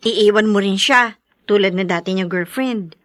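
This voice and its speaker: A woman's voice: mid-range voice, moderate pace, no room sound, clean studio sound, natural voice. An actress playing a frank, teasing young woman confidante in a radio drama, exclaiming and probing. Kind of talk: Tagalog radio drama acting.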